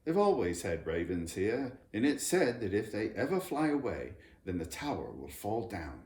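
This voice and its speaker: A kindly, knowledgeable gentleman from a storybook, acted by a man reading aloud. He explains things reassuringly with animated intonation, in a roomy, slightly noisy recording. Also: fairly low pitch, moderate pace. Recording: roomy echo, background noise.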